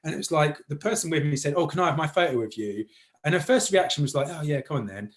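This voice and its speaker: A man with a fairly high voice chats as an interviewer. He talks casually and loosely, circling and repeating words while he works toward his point. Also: fast.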